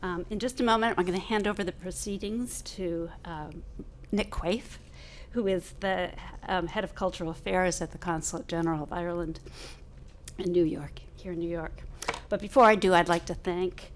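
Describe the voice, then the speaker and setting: Woman, event host, formal welcome speech, measured hesitant delivery, faint room noise. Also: fairly low pitch. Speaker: event host, humanities center director.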